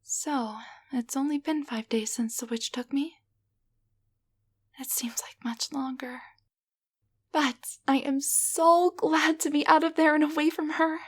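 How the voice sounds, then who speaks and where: A woman playing a gentle, grateful young waitress turned into a tiny living doll, speaking softly and hesitantly in an intimate roleplay audio drama. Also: sits high, fairly even intonation, a little slow. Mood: relieved, eager. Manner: soft, breathy, hesitant, tender delivery.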